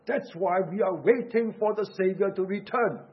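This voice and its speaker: Husky high-voiced Singaporean preacher. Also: male voice, moderate pace, dull audio. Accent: Singaporean English. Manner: earnest, urgent preaching with rhetorical questions. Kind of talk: sermon.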